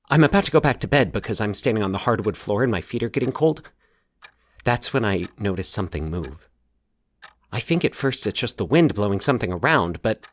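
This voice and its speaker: A man voicing an anxious, halting man confessing a recurring nightmare in a horror audio story. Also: lowish voice, no room sound. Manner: nervous, halting, stumbling over his words. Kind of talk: dramatized horror audio fiction.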